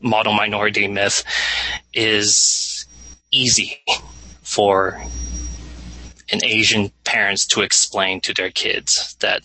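A young American man, a college student, giving a halting personal testimony with many pauses and fillers. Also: on the low side, level tone, bright, forceful tone, poor audio. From United States. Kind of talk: personal testimony in a group discussion.

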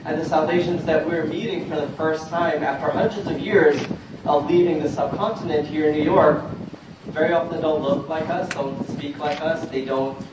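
A man from Guyana speaking informally as a panelist at a community forum, addressing the audience directly in a conversational way. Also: on the high side. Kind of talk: informal public panel speech. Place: large echoey indoor event room. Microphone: distant microphone. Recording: very echoey, noisy, muffled, distant room recording.

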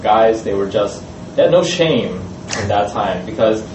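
Male student panelist, low voice, halting delivery; distant mic in a noisy echoey room. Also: animated. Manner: hesitant, many "uh" and "um" fillers. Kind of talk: casual panel talk.

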